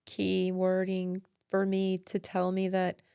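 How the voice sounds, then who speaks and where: A woman's voice: medium pitch, monotone, slow, creaky, a little mellow, clear, resonant tone, no room sound, studio quality. A woman co-hosting a live online show, chatting casually and reading out viewer comments. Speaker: co-host. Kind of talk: casual live-stream chat.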